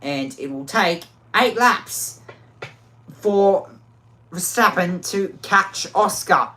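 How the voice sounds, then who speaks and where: A woman with a low voice gives live commentary on a racing game. She gets excitable and often restarts and repeats phrases. Her voice sounds worn out. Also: takes it slowly.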